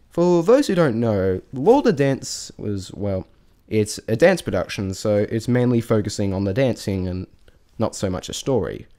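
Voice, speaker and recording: A man talks through his opinions off the cuff in a fairly low voice. His pitch swings up and down a lot, while his delivery rambles and stumbles, doubling back to correct itself. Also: darkish tone. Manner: rambling, halting, self-correcting, hesitant. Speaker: vlogger reviewing a stage show. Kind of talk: unscripted spoken review, casual chat.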